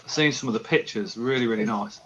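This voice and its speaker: A man's voice: mid-range voice, fast talker. A man hosting a casual live stream, talking with enthusiasm. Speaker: host. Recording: dull lo-fi, noisy.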